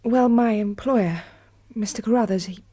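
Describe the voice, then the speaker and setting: An actress plays a young Victorian gentlewoman in a radio drama, British and very proper. Her tone is mellow and breathy, with a prim, earnest dignity and a spirited streak. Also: on the high side, normal speed, natural voice. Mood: flustered, indignant. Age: young adult.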